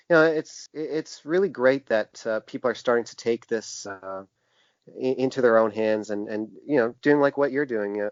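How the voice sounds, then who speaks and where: A man being interviewed as a guest, talking casually in a thoughtful, halting way and explaining things in detail. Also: mid-range voice, no echo. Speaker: podcast guest, author and indie publisher. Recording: dull, dry lo-fi podcast audio.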